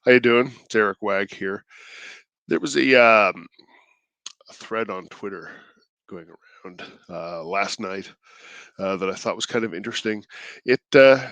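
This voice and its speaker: A man with a stuffed-up cold voice, hosting his own channel in casual, halting commentary, mild-mannered and thinking aloud. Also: on the low side, fairly bright tone, no echo. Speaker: indie creator and channel host.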